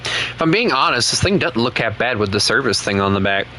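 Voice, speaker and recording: A man's voice: mid-range voice, a little fast, slight background noise. A man with a Southern US accent, casually commenting to viewers while playing a truck game. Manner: relaxed, chatty, talks to viewers as he plays. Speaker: game streamer.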